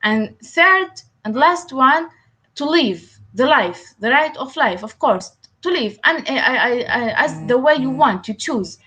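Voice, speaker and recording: A woman whose first language is Arabic answers interview questions in English, halting often with fillers and restarts. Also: sits high, expressive, sharp tone. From Palestine. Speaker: guest.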